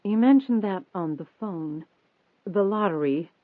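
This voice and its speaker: A man's voice: high voice, lively intonation, a little slow, no room sound, pitched above the performer's own voice. Guy reading as a stiff proper wealthy woman, jittery and defensive, audiobook, tinny phone-band sound, very dry. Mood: prim, defensive. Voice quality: trembling, anxious. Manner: prim, stiffly formal. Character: prim, nervous wealthy woman, defensive and proud.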